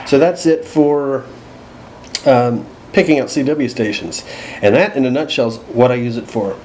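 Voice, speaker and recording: A man's voice: medium pitch, a little mellow, a little hiss or hum, poor audio. A man giving a casual, unscripted radio gear walkthrough, thinking aloud in a hesitant, self-deprecating way. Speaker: radio hobbyist presenting a gear demonstration.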